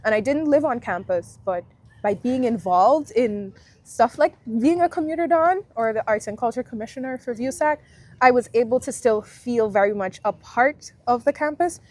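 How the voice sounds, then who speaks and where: A young woman from Guyana speaks as a guest in a casual interview, thinking aloud and stopping to rephrase. Her voice sits a little high. She sounds friendly and reflective. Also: expressive, moderate pace, a little mellow. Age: 20s. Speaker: alumna guest.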